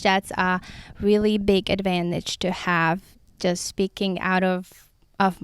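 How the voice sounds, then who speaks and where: Chatty woman rambling with a crisp, smooth voice. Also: medium pitch, dry recording, studio quality. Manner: rambling, goes off on tangents. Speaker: podcast host. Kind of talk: casual solo talk. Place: outdoors by the sea.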